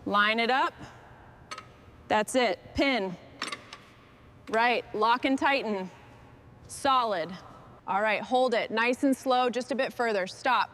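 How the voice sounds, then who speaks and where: A woman's voice: on the high side, slow pace, long pauses, a bit bright, a little hiss or hum. A woman speaking short, scripted remarks and commands as a machine restorer at work.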